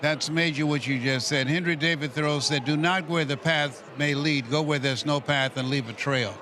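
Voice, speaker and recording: A man's voice: fairly high pitch, steady tone, moderate pace, sharp tone. A man of eighty giving motivational encouragement in conversation as a guest, in a preacherly way full of maxims. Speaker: motivational speaker, interview guest.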